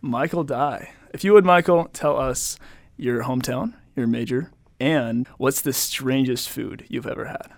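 A man's voice: mid-range voice, measured pace, vocal fry, dry recording. A young man hosting a casual podcast, upbeat and enthusiastic as he questions his guests. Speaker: podcast host.

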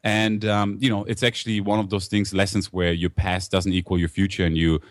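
A man's voice: sits low, little pitch movement, brisk, no echo, studio quality. A man in his thirties with a German accent, telling his story as a guest with upbeat energy. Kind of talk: interview storytelling.

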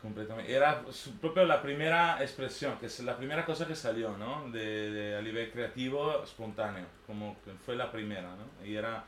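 A man's voice: medium pitch, normal speed, hardly any pauses, fairly bright tone. A man in his thirties from northern Italy, a native Italian speaker with an Italian accent, talking informally and unscripted in a hesitant, rambling way, often tagging phrases for agreement. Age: 30s. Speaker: artist giving a talk. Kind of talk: informal unscripted personal talk. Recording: echoey room with faint background noise.

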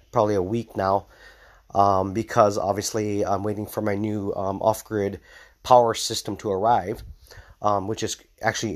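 Male vlogger, somewhat low voice, congested from a cold, loose rambling delivery. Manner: loose and rambling, many ums and tag questions. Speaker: off-grid homesteading vlogger. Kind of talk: casual video update.

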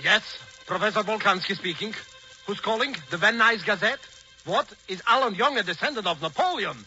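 Boastful high-pitched phony professor, old radio. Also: male voice, lively intonation, brisk. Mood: self-important. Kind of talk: 1940s radio comedy acting. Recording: dull old radio recording with music.

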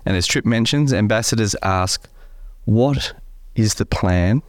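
A man co-hosting a faith podcast, slightly croaky from a cold, talking in a relaxed way with many fillers. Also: lowish voice, a little slow, no room sound, studio quality. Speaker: podcast co-host. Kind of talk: casual faith podcast chat.

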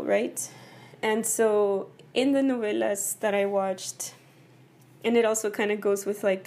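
A woman from El Paso, Texas, hoarse from a cold, talking casually and personally to her viewers, leaning on "you know" and "right?". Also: mid-range voice, dark tone, a little hiss or hum. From El Paso, Texas, USA. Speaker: vlogger. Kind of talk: casual personal talk to camera.

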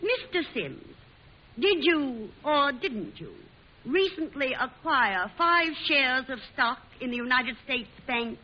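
An actress playing a fiery, sharp-tongued, defiant woman on trial in an old-time radio drama. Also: high voice, very animated, takes it slowly, exaggerated voice. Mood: determined. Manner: indignant, sarcastic, outspoken. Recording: hissy, muffled old radio recording.

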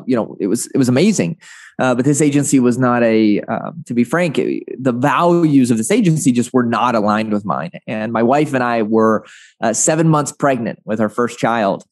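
A man's voice: medium pitch, animated, normal speed, dry recording, studio quality. A man speaking as a guest in a conversational interview, sharing advice and anecdotes and often checking in with "right?". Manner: frequent "right?" tag questions. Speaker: interview guest, agency founder.

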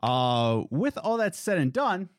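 A male podcast co-host in casual chat who shifts between a low relaxed voice and loud, high bursts when excited. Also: slow. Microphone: studio podcast mic.

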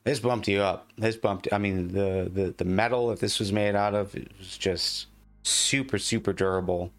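Halting low-voiced male game master. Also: fairly even intonation. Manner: halting, repeats words. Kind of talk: improvised tabletop game narration.

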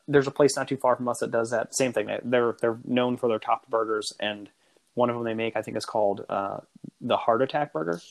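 A man co-hosting a podcast, chatting casually in an enthusiastic, rambling way that often restarts and corrects itself. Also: mid-range voice. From United States. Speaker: podcast co-host. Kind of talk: casual chat.

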